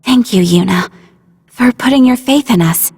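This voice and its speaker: An actress playing a caring, earnest young female squad member with a husky voice in a video game cutscene. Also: on the high side, normal speed, a little mellow, long reverb tail. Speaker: voice actor.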